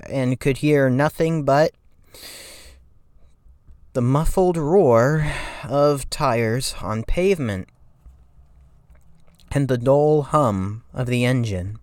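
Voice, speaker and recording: A man playing a timid, haunted narrator in a dramatic horror story reading, with a shaky, halting, stammering delivery. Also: mid-range voice, no room sound, studio quality. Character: timid, shaky, haunted narrator recalling a frightening night drive. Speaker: creepypasta reader.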